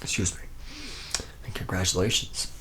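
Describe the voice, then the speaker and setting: A man's voice: moderate pace, noisy background, close to an everyday voice. A man playing a jaded, boozy factory worker in an ASMR roleplay, rambling in a hesitant, sardonic drawl that often drops toward a whisper. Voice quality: gravelly, low, partly whispered.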